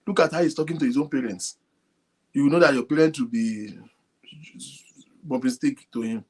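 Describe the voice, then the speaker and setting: Male show host, husky and quiet, talks straight to viewers at home. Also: mid-range voice, normal speed, poor audio. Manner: speaks softly, animated, addresses viewers directly. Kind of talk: casual talk to a live audience. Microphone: phone linked to a computer.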